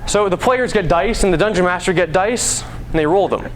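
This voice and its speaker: Young man, student presenter. High-pitched, animated, conversational stage delivery with some noise behind. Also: normal speed, low-quality recording. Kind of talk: prepared live speech. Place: school stage. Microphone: clip-on mic. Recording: live room, background noise.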